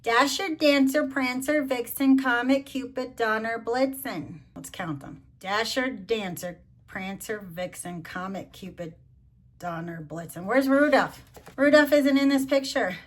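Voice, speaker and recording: A middle-aged or older woman doing a storybook read-along, chatting to child listeners and gently asking them questions. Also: fairly high pitch, measured pace. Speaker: grandmother reading to children.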